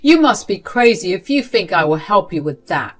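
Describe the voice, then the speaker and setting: A furious, defiant young woman who refuses to be manipulated, as a woman plays her. Her voice is sharp and loud, with faint music behind it. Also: mid-range voice, very animated, moderate pace. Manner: sharp, angry.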